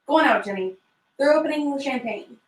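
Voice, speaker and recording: A woman playing a brisk working mother in her thirties in a script reading. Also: sits high, animated. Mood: exasperated. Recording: echoey room, distant mic.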